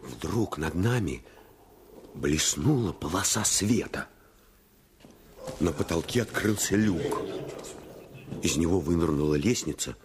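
A male storyteller in a radio drama. His voice is deep and moves widely in pitch. He delivers scripted adventure narration with measured suspense. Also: lively intonation, slight background noise. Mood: suspenseful, urgent.

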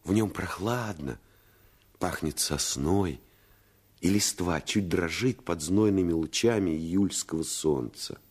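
A man narrating a boyhood adventure in the first person for a radio play, in a measured, literary storytelling manner. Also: deep voice, darkish tone, slightly rough, exaggerated voice. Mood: calm, descriptive. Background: music.

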